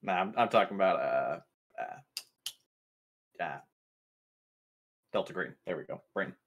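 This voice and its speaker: A man's voice: sits low, animated, takes it slowly, a bit bright. A man playing a tabletop roleplaying game, chatting casually in a blunt, sweary way. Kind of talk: casual joking table chat.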